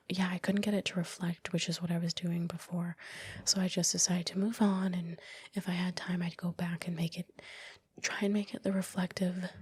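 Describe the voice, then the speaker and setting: A woman whispering softly in a casual, reflective ASMR commentary, close to the microphone with a breathy voice. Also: on the low side. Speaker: ASMR creator narrating own project. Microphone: close, dry microphone.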